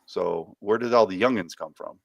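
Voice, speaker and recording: A man's voice: lowish voice, normal speed, vocal fry, slightly rough, no room sound. A man co-hosting a casual podcast, chatting about plot details in a quizzical, jokey way. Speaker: podcast host. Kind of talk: casual chat.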